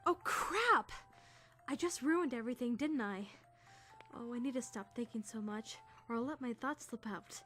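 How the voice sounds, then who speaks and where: Expressive high-voiced teenage girl, acted by a woman, over music. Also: normal speed. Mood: flustered panic. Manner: expressive, sarcastic, stammering when nervous. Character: flustered, sarcastic teenage girl trainee narrating her thoughts. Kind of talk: first-person visual novel narration and dialogue.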